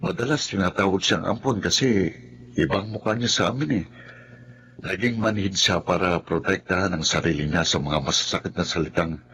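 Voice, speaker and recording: A man's voice: sits low, moderate pace, fairly bright tone, slight background noise. An actor playing a mild, patient older brother and family man, with a Filipino accent, in a TV drama. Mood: resigned, understanding.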